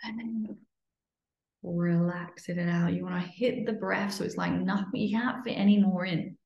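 A woman leading a guided breathing meditation in a soothing, instructional way, sometimes dropping to a breathy near-whisper. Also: on the low side, steady tone, moderate pace. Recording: muffled, lo-fi, roomy echo.

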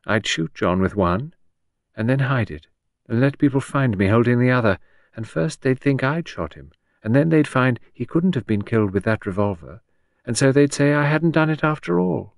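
Man voicing a vague, high-pitched anxious widow. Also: animated, moderate pace, gravelly, dry recording, studio quality. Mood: earnest. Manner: vague, plaintive, apologetic. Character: Gerda, vague, apologetic, bewildered, anxious widow.

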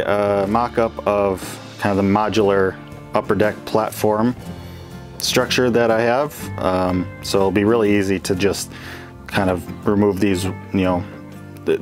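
Relaxed rambling man, faint music behind. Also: lowish voice. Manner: relaxed and rambling, many fillers and restarts, small chuckles. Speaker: LEGO builder showing his model stadium. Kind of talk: casual off-the-cuff build update. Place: indoor room with the model, wood-paneled walls.

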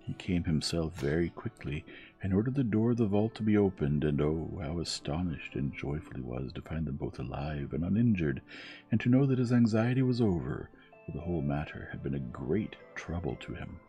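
Deep-voiced man gently reading a bedtime story. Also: lively intonation, lo-fi sound. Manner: soft, measured, soothing reading. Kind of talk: gentle scripted storybook narration. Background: music.

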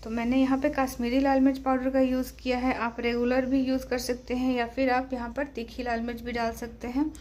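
A woman's voice: sits high, level tone, a little fast, hardly any pauses, a little mellow. A woman with an Indian accent giving a step-by-step cooking tutorial voice-over as a home cook. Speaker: home cook presenting a recipe.

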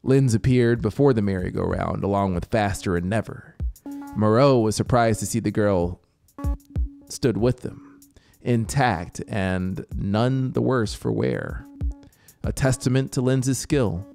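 A man narrates an audiobook in a low register with a gravelly, creaking edge. He takes his time, pausing for drama in a deliberate storytelling style. The voice is close on a studio mic, with soft music behind it. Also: lively intonation. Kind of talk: scripted story narration over soundscape. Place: small home music studio, indoors. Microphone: close studio microphone with headphones. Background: atmospheric synth music.

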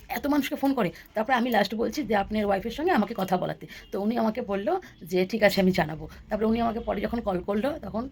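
Fast-talking woman, slightly gravelly. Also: mid-range voice, darkish tone, slight background noise. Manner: rambling, repeats phrases for emphasis. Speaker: vlogger. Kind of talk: casual storytelling to viewers.

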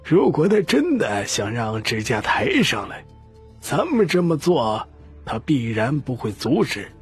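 Man voicing a slow, husky old schemer. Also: mid-range voice, sing-song, faint music behind, exaggerated voice. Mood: sly, confident. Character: shrewd old male patriarch, calm and scheming.

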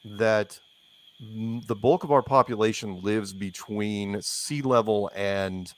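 A man's voice: lowish voice, takes it slowly. A man talking casually as an interview guest, opinionated and rambling, repeating phrases for emphasis.

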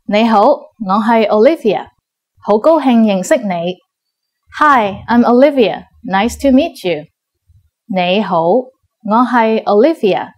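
A woman reads a scripted language lesson in a clear, measured voice at an ordinary middle pitch. She slows down to model each phrase carefully. The recording sounds like a tidy studio. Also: a little mellow. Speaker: language teacher.

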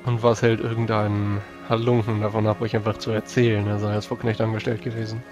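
A fast-speaking man with a slightly low voice improvises in character at a tabletop game. His delivery is dry and sceptical. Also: level tone, lo-fi sound, over music. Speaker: tabletop role-player.

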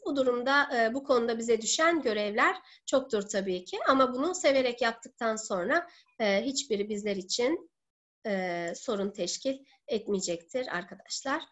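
High-pitched woman explaining step by step. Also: dull audio. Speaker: teacher presenting a method to fellow teachers. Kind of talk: online seminar presentation, explanatory. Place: living room at home. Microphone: laptop or webcam video call.

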